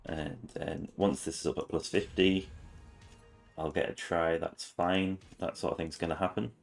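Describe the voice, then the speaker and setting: A very low-pitched man talks through a game guide. His voice is raspy this morning, and he rambles with frequent ums. His delivery is casual and unhurried. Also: flat delivery, soft background music. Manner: rambling, many ums and restarts. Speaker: gaming channel host.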